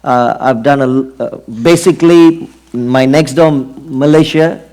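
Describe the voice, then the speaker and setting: A middle-aged man from Singapore with a Singaporean accent, preaching an earnest sermon and often pausing on fillers. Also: mid-range voice, a little mellow. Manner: earnest, drawn-out emphasis with frequent fillers.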